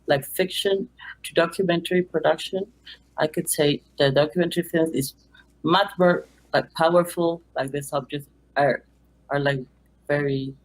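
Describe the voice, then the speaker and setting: Mexican accent lady filmmaker, spanish first language, kinda low voice, earnest, lots of "like". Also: steady tone, low-quality recording. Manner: earnest, halting, many "like" fillers. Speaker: documentary filmmaker and teacher. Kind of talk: remote interview.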